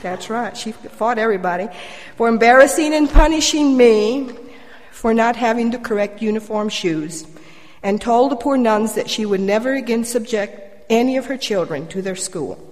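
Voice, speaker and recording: An older woman from Colombia giving a prepared personal testimony to a church congregation, measured and wryly humorous. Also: a little high. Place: church, addressing congregation through a microphone. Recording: reverberant hall.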